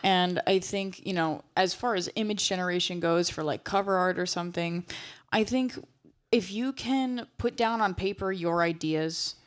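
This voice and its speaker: A woman's voice: fairly low pitch, moderate pace. A young woman songwriter on a panel, speaking thoughtfully and a bit tentatively. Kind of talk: panel discussion.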